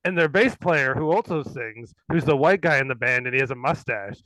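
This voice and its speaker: A man's voice: mid-range voice, lively intonation, a little fast, no room sound, soft background music, poor audio. A man co-hosting a live stream, chatting casually and joking while playing clips. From Canada. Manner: laughing, joking, bursts into shouting. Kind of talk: casual chat.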